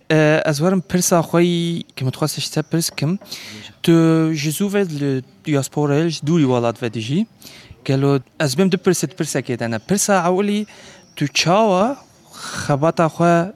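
A man's voice: fairly high pitch, a little mellow. A man hosting a radio interview, asking friendly questions with small restarts and fillers. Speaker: host.

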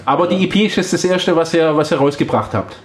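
A man's voice: on the high side, quick pace, slight background noise. A man hosting a casual radio interview, talking with many hesitations and fillers. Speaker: radio host.

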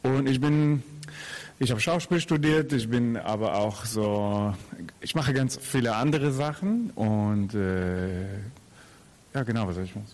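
A man's voice: mid-range voice, lively intonation, unhurried, a little mellow, a little hiss or hum. A man from Israel with an Israeli accent, an actor answering interview questions in an informal, anecdotal way. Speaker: actor and theatre maker.

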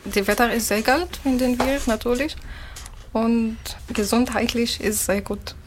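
Woman, calm and matter-of-fact. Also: fairly high pitch, unhurried, a little hiss or hum. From Syria. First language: Arabic. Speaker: interviewee, musician. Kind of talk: interview answers.

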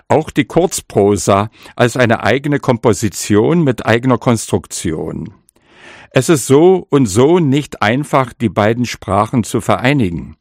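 An older man, a poet whose first language is Sorbian, answering interview questions in a slow, reflective way with small hesitations. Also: medium pitch, no echo, studio quality. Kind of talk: interview answers and author reading.

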